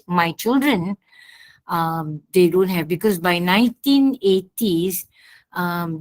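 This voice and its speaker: Woman in her sixties, low-ish voice, student who speaks up in class, halting and full of fillers. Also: a little mellow. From Singapore. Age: 60s.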